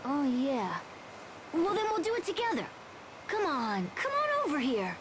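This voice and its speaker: High, lively, eager young boy. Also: normal speed, background noise. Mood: encouraging. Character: eager, friendly young boy, earnest and cheerful. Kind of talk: English-dubbed anime game cutscene acting.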